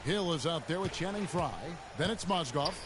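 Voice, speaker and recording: A man voicing a play-by-play announcer in a basketball video game, calling the action briskly. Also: on the high side, lively intonation, background noise, natural voice. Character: male play-by-play sportscaster, brisk. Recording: game audio with loud music behind.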